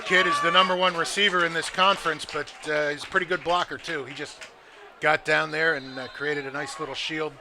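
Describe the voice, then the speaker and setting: A man's voice: fairly high pitch, steady tone, fairly quick, noisy background. A man working as color commentator at a live football game, analyzing plays in a casual, conversational and somewhat hesitant way.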